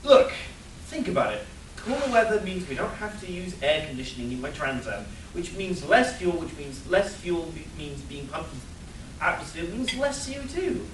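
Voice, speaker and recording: A man's voice: a little high, very animated, moderate pace. A man playing an exasperated, sarcastic young superhero sidekick in a live comic radio play, whiny and incredulous. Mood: incredulous, argumentative. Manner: whiny, incredulous, swooping into outbursts. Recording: roomy, distant live stage capture, faint noise.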